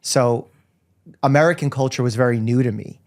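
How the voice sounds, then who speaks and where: A man who grew up in Russia, with Russian as his first language, hosts a casual interview podcast. He is a family doctor and talks in an upbeat way, laying out medical points in plain terms. His voice sits at an ordinary male pitch. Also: moderate pace.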